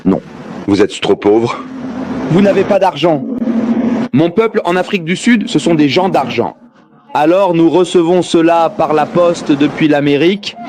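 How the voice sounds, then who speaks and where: Male lecturer, slightly high voice, rhetorical and joking with the crowd, noisy recording with background hum. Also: animated, measured pace. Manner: rhetorical, questions the audience, jokes. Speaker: religious debate lecturer. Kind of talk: dubbed public lecture.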